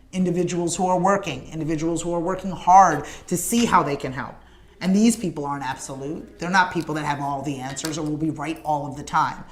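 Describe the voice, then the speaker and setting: A woman hosting a morning livestream show, making an earnest, emphatic appeal to viewers in a repetitive rallying cadence. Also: low-pitched. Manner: addresses viewers in comments by name. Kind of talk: direct-to-camera livestream appeal.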